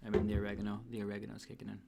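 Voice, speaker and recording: Casual male streamer, relaxed and jokey, talking to chat. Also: lowish voice, racing, gravelly, very noisy. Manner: laid-back, joking, talks to chat. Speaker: livestream host.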